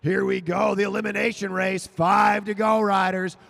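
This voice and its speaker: Man, track race announcer, live commentary, high pitch, gravelly. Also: normal speed. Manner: excited, shouting names over the finish. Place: velodrome over a PA.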